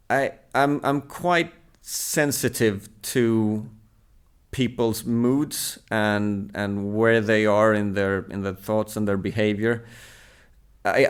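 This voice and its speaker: A man in his thirties whose first language is Swedish, answering interview questions in a low, smooth, resonant voice. He speaks reflectively and at an even, measured pace, often pausing on hesitant fillers. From Sweden. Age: 30s. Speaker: national team table tennis coach, podcast guest. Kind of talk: reflective casual conversation.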